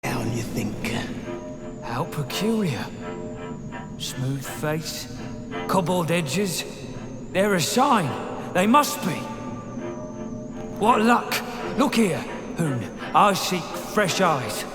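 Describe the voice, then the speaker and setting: A weary bookish old dwarf for a fantasy game, slow and formal, lots of echo. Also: male voice, fairly high pitch, very animated, full character voice. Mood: musing. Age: older. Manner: formal, musing, polite. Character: weary old dwarf stonemason, scholarly, courteous, obsessive. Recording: echoey cavern with music behind.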